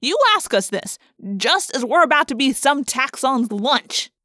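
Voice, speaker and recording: A woman's voice: high voice, expressive, unhurried, sharp tone, dry recording, clean studio sound, full character voice. A woman voicing a sardonic, wisecracking teenage boy in an audiobook, brash and sarcastic.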